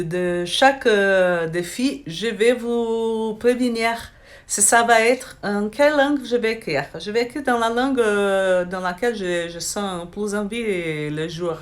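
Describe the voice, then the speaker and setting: Slow, hesitant Brazilian-accented woman vlogger. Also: mid-range voice. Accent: Brazilian Portuguese. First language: Brazilian Portuguese. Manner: hesitant, many restarts and fillers. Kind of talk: casual chat to camera. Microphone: phone held in hand.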